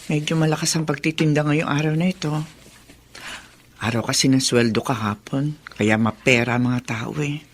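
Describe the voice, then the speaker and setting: A woman's voice: extremely low pitch, steady tone, normal speed, slight background noise. An actress playing a tired, caring mother of a college girl in a radio drama. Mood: weary.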